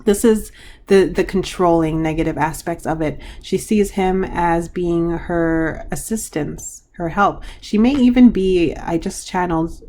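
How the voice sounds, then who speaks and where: Hoarse woman, card reader, frank chatty delivery, low register, slight background hiss. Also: darkish tone. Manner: frank, chatty, trailing restarts. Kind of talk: pick-a-card reading to viewers.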